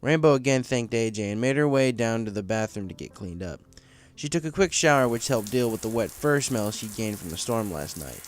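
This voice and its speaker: A man's voice: medium pitch, animated, fairly quick, few pauses. A man reading a story aloud as a scripted narrator, in a storybook style. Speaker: fan fiction story reader.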